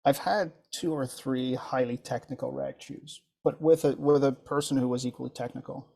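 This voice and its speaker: A man whose first language is French, leading an instructor training session in a relaxed, encouraging way over a video call. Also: mid-range voice, normal speed, gravelly. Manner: relaxed, encouraging, thinks aloud with ums. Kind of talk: casual group video-call discussion.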